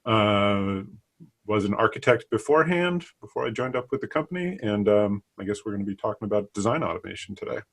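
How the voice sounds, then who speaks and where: Middle-aged guy on the low side, explaining stuff loosely as an interview guest. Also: lively intonation, brisk. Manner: loose, hedging with sort of and kind of. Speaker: guest expert, product line manager. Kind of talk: casual explanatory interview talk.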